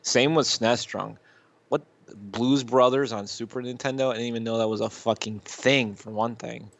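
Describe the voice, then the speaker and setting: A man co-hosting a casual podcast, giving opinions in a rambling, halting way with repeated phrases and loose swearing. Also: medium pitch, fairly even intonation, gravelly, dry recording, muffled recording. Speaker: podcast co-host. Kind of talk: casual chat, reviewing YouTube videos.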